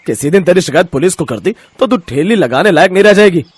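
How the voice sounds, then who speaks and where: A man's voice: high-pitched, expressive, fast talker, slightly rough, no echo. A man voicing a bad-tempered, jealous grocery shopkeeper who scolds and threatens in a cartoon story. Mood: angry, threatening.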